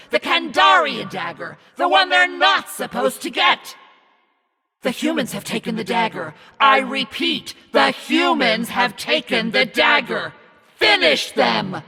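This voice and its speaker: A man voicing a menacing, scornful demonic narrator in a video game, commanding and mocking in a theatrical, raspy delivery. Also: very high voice, pushed and bright, heavily acted. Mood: menacing, furious. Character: demonic evil narrator, menacing, scornful, imperious servant of darkness. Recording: long reverb over music.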